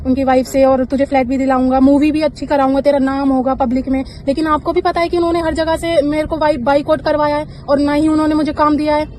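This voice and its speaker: Woman for a tense phone dispute: very high-pitched, pressing and accusatory, light street noise behind her. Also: little pitch movement, quick pace, few pauses, darkish tone. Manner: insistent, accusatory. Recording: noisy phone call recording with traffic.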